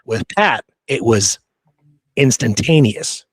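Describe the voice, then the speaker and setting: A man's voice: medium pitch, lively intonation, slow pace, breathy edge, dry recording. A man co-hosting a history podcast, telling old stories in a casual, colloquial way. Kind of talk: casual conversational history storytelling.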